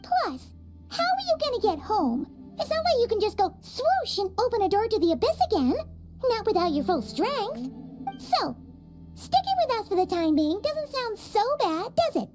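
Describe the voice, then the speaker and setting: A tiny fairy companion with an extremely high, squeaky child's voice. She is bubbly and fretful, swinging wildly in pitch like an excitable game cartoon sidekick. Music plays behind her. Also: moderate pace. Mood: worried, coaxing. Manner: childlike, exclamatory, refers to herself in third person. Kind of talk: anime-style game voice acting.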